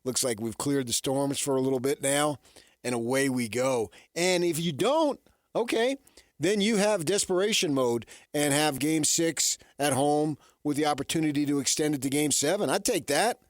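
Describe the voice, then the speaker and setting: A man's voice: fairly high pitch, lively intonation, moderate pace, no room sound. Rambling male sports radio host, dry studio sound. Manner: rambling, asks and answers his own questions. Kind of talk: casual sports talk monologue. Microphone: studio radio microphone.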